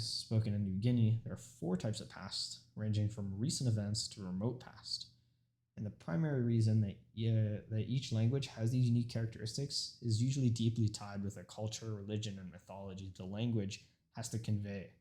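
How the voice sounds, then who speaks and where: A man's voice: lowish voice, dark tone. Earnest young man giving a prepared speech. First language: Urdu. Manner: earnest, reads from a script with occasional stumbles and restarts. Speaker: student speaker.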